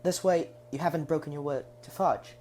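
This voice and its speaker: Woman as brave, polite teenage boy wizard, very deep pitch, audio drama, noticeable noise behind. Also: a little fast, mellow, lightly acted. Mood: polite, reassuring. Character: polite thirteen-year-old boy wizard, modest and brave. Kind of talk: fan audio drama acting. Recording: clear background noise.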